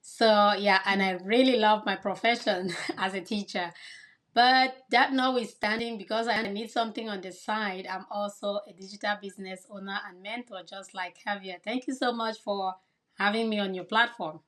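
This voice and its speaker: A woman's voice: medium pitch, fairly even intonation, normal speed, a bit bright. A woman from Cameroon, a kindergarten teacher, answering interview questions in an earnest, explanatory way.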